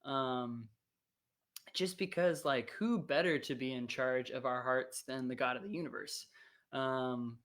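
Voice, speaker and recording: A worship leader chatting casually between songs, rambling with many fillers, voice wearing out from singing. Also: medium pitch. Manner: rambling, relaxed, with many fillers and quick asides.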